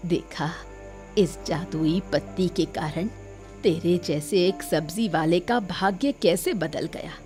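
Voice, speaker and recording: Lady doing wise old village mom in a cartoon story, super slow n measured, music playing behind. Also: fairly low pitch, a little mellow. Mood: calm, revealing. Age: older. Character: wise old village mother revealing a family secret. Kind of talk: scripted cartoon story acting. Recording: music bed under the voice.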